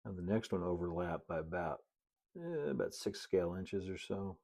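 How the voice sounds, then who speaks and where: A middle-aged man with a very low voice hosts a craft tutorial. He talks through each step in an easygoing, folksy manner, dropping in chatty asides as he goes. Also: expressive, normal speed, gravelly, darkish tone. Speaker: model railroad builder and video host. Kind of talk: casual hobby tutorial narration.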